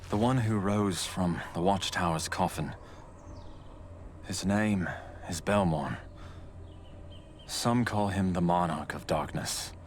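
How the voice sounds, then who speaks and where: An actor playing a grave, knowing guardian in a fantasy game, speaking in a measured, portentous way. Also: male voice, deep voice, a little hiss or hum. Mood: ominous. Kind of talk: video game voice acting. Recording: faint music behind.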